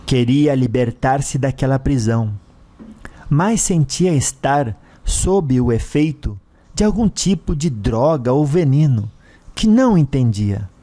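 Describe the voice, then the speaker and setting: A man's voice: mid-range voice, expressive, slow pace, dark tone. A man with a Brazilian accent giving a scripted, dramatic narration of a story.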